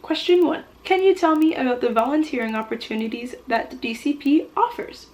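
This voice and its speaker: High-pitched young woman giving advice. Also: expressive, brisk, darkish tone. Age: young adult. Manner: encouraging, reassuring. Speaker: vlogger giving interview tips. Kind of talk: casual advice to camera.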